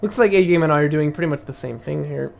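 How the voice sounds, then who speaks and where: A young man with a somewhat high voice talks through a strategy session on a live stream. He thinks aloud, fills gaps with "like" and "um", and laughs often. His voice has turned slightly raspy from long talking. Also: fast. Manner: chatty, thinks aloud with many fillers and laughs. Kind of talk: casual live-stream commentary.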